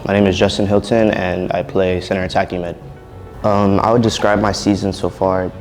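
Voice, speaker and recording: Deep, monotone teen soccer player. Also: male voice, brisk, soft background music. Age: teens. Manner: relaxed, ends thoughts with "so yeah". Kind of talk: interview answers.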